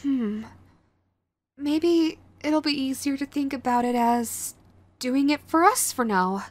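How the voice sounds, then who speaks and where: Woman acting a high-pitched, clingy yandere girlfriend. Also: dry recording. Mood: hesitant, earnest. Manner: hesitant, pleading, halting. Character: sweet, clingy, remorseful yandere girlfriend in recovery. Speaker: voice actor. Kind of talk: audio roleplay, intimate addressed to listener.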